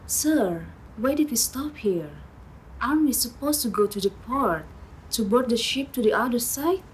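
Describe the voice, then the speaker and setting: A woman's voice: fairly high pitch, lively intonation, normal speed, slight background noise, natural voice, poor audio. A woman playing an earnest, polite and innocent young Indonesian village girl in a radio drama. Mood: puzzled.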